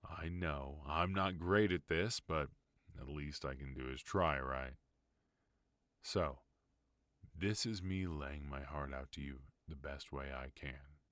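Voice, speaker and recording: A voice actor playing a protective, tender yet dangerous mafia boss husband in an intimate ASMR roleplay, speaking softly and unhurriedly into a close microphone. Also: male voice, extremely low pitch, no echo, heavily acted. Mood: tender, vulnerable. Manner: soft, intimate, unhurried delivery.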